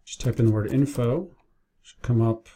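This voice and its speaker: A man's voice: medium pitch, moderate pace, dark tone, a little hiss or hum. A man narrating a casual screen-share tutorial, explaining things informally step by step as a business owner training staff. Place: indoors at a computer, keyboard and mouse clicks.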